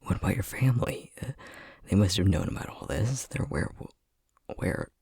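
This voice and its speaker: A man playing a flustered, tender young boyfriend in an ASMR roleplay, soft-spoken and breathy, hesitating and stammering as he talks. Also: low voice, expressive, normal speed, dry recording, studio quality, exaggerated voice. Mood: tentative, surprised. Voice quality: breathy, husky. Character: flustered, tender young boyfriend newly discovering magic powers.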